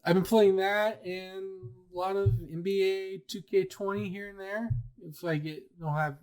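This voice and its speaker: Podcast host guy, voice a bit on the high side, easy agreeable chat, slangy, some swearing, echoes stuff back. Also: steady tone, moderate pace, a little mellow, clear, resonant tone. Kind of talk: casual chat.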